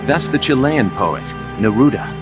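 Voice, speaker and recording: A man's voice: medium pitch, sing-song, normal speed, over music, close to an everyday voice. A man playing an earnest, shrewd mercenary second-in-command in a game cutscene, pressing and questioning as he talks. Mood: analytical. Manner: insistent, probing. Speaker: video game voice actor. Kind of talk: dramatic game cutscene dialogue.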